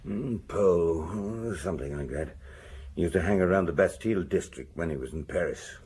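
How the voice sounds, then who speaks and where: An actor playing a shifty small-time crook and ex-sailor in a radio crime drama, talking in a rambling, colloquial way. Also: male voice, deep voice, moderate pace, a little mellow. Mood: evasive. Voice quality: husky, gravelly. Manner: rambling, hesitant, colloquial storytelling.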